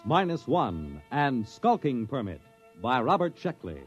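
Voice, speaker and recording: A man's voice: mid-range voice, wildly swooping pitch, unhurried. A man announcing in the dramatic, scripted style of 1950s radio. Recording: muffled old radio broadcast with music.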